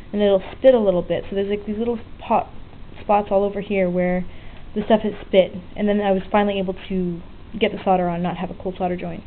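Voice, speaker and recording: Woman in phone-like lo-fi audio, casually explaining. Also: medium pitch. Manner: informal, frank, explanatory. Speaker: DIY electronics hobbyist presenting a tutorial. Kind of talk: casual unscripted hands-on demonstration. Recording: muffled phone-like lo-fi audio with faint noise.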